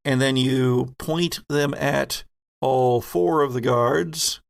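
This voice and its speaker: A man narrating a tabletop horror game as game master, in halting, suspenseful phrases. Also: medium pitch, vocal fry, smooth.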